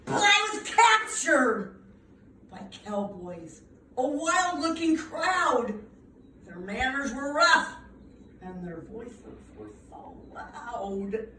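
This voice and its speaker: A woman reads a children's story aloud with an emphatic, theatrical delivery. Her voice is fairly high and she takes her time, pausing for her young listeners. The sound is roomy and a little far from the mic, with faint noise. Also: sing-song, sharp tone. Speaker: preschool owner reading at a children's storytime. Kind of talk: read-aloud picture book storytelling with asides to children.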